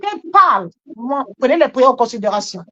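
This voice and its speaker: Female church exhorter, high voice, emphatic and repetitive, animated charismatic delivery, dull lo-fi sound. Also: a little fast. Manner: emphatic, repeats phrases.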